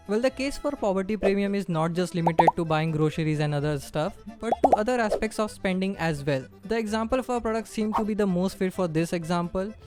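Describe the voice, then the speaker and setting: Indian guy, high voice, earnest explainer voiceover, faint music under it. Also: a little mellow. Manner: earnest, addresses viewer directly. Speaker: explainer video narrator. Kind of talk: scripted voice-over explainer.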